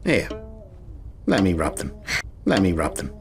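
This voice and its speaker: An actor playing a smug, fussy lodger in a radio drama, pompous and demanding with an oily, flirtatious charm. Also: male voice, low voice, huge pitch swings, unhurried, music in the background, exaggerated voice. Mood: smarmy, coaxing.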